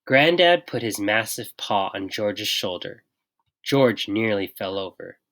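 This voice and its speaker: A reader giving an expressive, unhurried children's picture book read-aloud with dramatic pauses. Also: medium pitch. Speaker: storybook reader.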